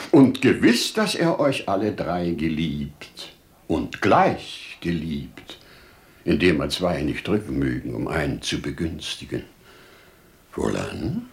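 An older actor playing a wise, humble old merchant in a 1950s German radio drama, with measured, declamatory verse delivery. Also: male voice, low voice, full character voice. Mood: solemn, gentle.

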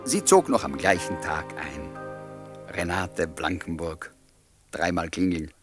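An actor playing a courteous, pensive flat-sharer who narrates his memories in a German radio play, polite and measured, with a husky voice. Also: deep voice, over music, exaggerated voice. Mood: pensive, concerned.

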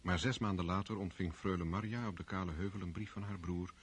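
A man narrating a classic radio drama in a measured, formal way. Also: low voice, fairly even intonation, slightly rough.

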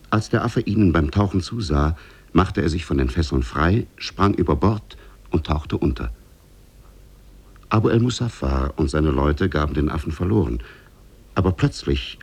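A very deep-voiced storyteller tells an old oriental tale for radio. His husky, gravelly voice moves through the scripted narration with measured deliberation. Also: a little mellow, full character voice. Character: male storyteller of an Arabian Nights tale.

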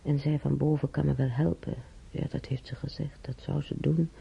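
An actress playing a weary, timid elderly former housemaid in a radio play, with a breathy, husky voice and halting, sighing delivery. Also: female voice, low-pitched, fairly even intonation, a little hiss or hum. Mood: weary, musing. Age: older. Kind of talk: naturalistic radio drama monologue and dialogue. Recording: dull, muffled radio play recording.

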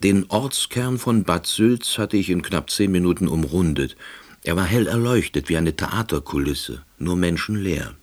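Low, husky, deadpan narrator. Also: male voice, normal speed. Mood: wry, pensive. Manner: dry, deadpan, officious. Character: wry, pedantic, laid-off East Berlin man narrating. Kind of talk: scripted first-person radio drama narration.

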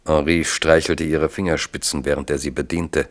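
A man narrating a radio drama in a measured, grave, literary manner. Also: extremely low pitch, little pitch movement.

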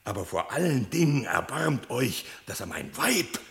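An actor playing an aggrieved, bitter wolf in a verse radio drama, pleading solemnly in a gravelly voice. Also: male voice, mid-range voice, very animated, normal speed, fairly bright tone. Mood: wounded pleading. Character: aggrieved male wolf, bitter accuser at court.

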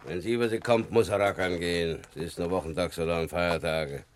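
Man acting devout old rustic dad in a radio play, deep voice, serious and stern. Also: fairly even intonation, brisk, close to an everyday voice. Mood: grumbling disapproval. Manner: grave, rustic dialect speech. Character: pious, stern old village father, church elder. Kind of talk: German radio drama acting.

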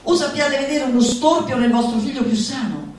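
A woman's voice: sits high, moderate pace, darkish tone. A woman stage performer giving a theatrical recitation of a satirical manifesto, declamatory and exhorting with mock-solemn emphasis. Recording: very echoey room, distant mic, faint noise.

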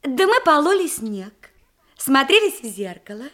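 A courteous, cheerful young maiden with a touch of playful cunning. Her high voice moves with lively melody through the theatrical verse of a radio comedy. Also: a little slow, heavily acted. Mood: lively, confiding. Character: polite, cheerful young unmarried woman, playful and artful.

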